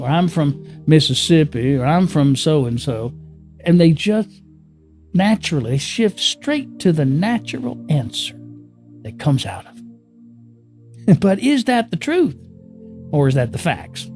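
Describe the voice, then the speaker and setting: A man speaks in a mellow, slightly raised voice, talking loosely and conversationally about spiritual ideas. He repeats words, chuckles often and keeps asking the listener rhetorical questions. Soft music plays quietly behind him. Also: fairly high pitch. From born in the US Northeast, raised in California. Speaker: spiritual teacher. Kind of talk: unscripted spiritual teaching to camera.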